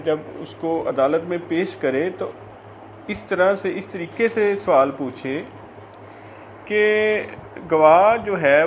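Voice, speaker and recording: A man with a Pakistani accent explaining law in an informal lecture, haltingly and repetitively, mixing in English legal terms. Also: high-pitched, a little hiss or hum.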